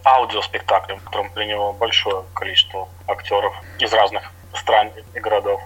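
A man's voice: on the low side, level tone, brisk, pushed and bright, a little hiss or hum, low-quality recording. A middle-aged man from Latvia, a guest on a radio interview, talks about his theatre projects in an easygoing, explanatory way with small hesitations.

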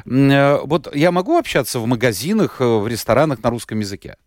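Give me a man with an ordinary mid-range voice conducting an interview. He asks measured, probing questions. His voice sounds close and dry. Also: mid-range voice, big pitch swings, no room sound.

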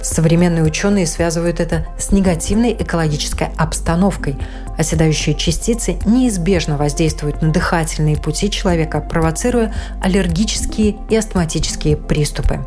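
A woman's voice: on the low side, fairly quick, darkish tone, soft background music. A woman radio presenter reading scripted, informational narration about health.

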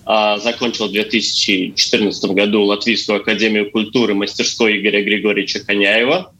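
Bright-voiced man answering interview questions. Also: on the low side, poor audio. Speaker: actor and stage designer, interview guest.

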